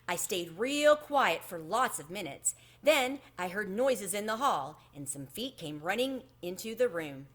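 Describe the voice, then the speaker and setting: A woman reading a children's book aloud expressively, in an animated storytelling manner. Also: mid-range voice, a little slow, few pauses. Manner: animated, acts out the dialogue.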